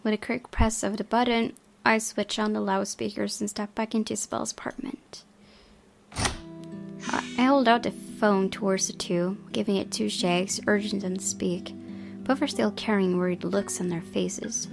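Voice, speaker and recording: A woman's voice: mid-range voice, steady tone, normal speed, gravelly. A young woman reading visual novel narration aloud as the host of a gaming video. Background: game music with guitar.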